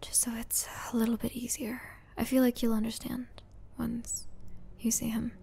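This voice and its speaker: A woman playing a hesitant, apologetic young student and caring sister in a breathy, whispery ASMR roleplay. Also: on the high side, level tone, dark tone, slight background noise. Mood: gentle, nervous. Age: young adult. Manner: halting, hesitant. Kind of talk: soft-spoken ASMR roleplay.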